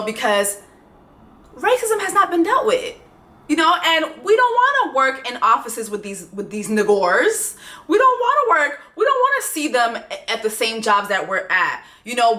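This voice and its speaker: A woman's voice: a little high, bright, forceful tone. Fired-up, profane woman vlogger ranting. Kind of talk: ranting commentary on a docuseries. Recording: echoey room.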